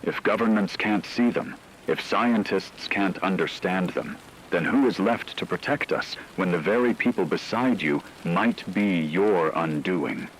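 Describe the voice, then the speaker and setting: A man with a low-pitched voice narrates a scripted apocalyptic horror chronicle. His delivery is grave and measured, like a storyteller on an emergency broadcast. Rain-like static runs faintly behind him. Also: low-quality recording.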